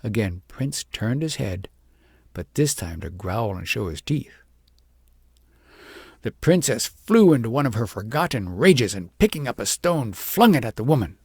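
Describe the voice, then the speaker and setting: A man with a husky, gravelly voice reading an audiobook story in a measured, expressive storytelling manner. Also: mid-range voice, no room sound, studio quality. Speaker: audiobook reader. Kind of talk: scripted storybook narration.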